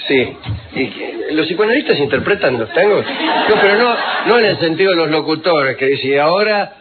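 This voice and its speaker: A man hosting radio, telling ironic, digressive stories with quick asides. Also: a little high, expressive, crowd noise. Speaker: radio host. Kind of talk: humorous radio talk. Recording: lo-fi phone-like archive radio with noise.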